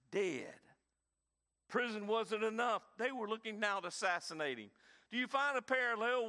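A man, preaching a sermon with dynamic emphasis and quiet, intense stretches. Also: sits high. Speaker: pastor.

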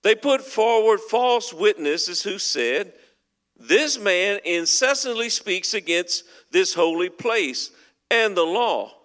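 A man's voice: sits high, expressive, slow pace, fairly bright tone. A man preaching a sermon as pastor, earnest and emphatic as he works through scripture. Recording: long hall reverb.

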